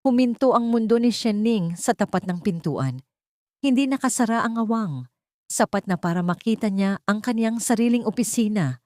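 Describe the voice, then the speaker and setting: Woman, dramatic audio story narration, lively intonation, close dry sound. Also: mid-range voice, moderate pace, dry recording.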